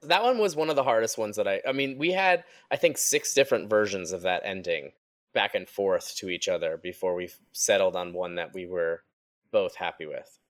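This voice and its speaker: A man's voice: low voice, normal speed, dry recording. Man, casual group chat, informal rambling delivery, dry room-free sound, podcast sound designer.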